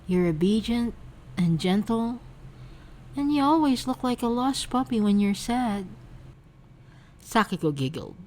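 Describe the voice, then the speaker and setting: Lady reading as a calm teasing girl, gentle, slow and lively in tone. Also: on the high side, slight background noise. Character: calm, teasing young woman, affectionate and composed.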